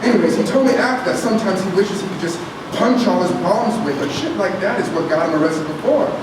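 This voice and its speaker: A man's voice: sits high, quick pace, lightly acted. A man playing a cocky, crude teenage ringleader, very echoey. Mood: swaggering. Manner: swaggering, foul-mouthed, bragging. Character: cocky, crude, hot-tempered teenage boy gang leader. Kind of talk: staged script reading. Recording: very echoey room, distant mic, clear noise.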